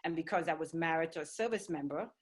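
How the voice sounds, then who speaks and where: Interview guest: woman from Trinidad, middle-aged, easygoing, somewhat low pitch. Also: quick pace. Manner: easygoing, with light laughter and fillers. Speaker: guest, fitness trainer. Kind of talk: conversational interview.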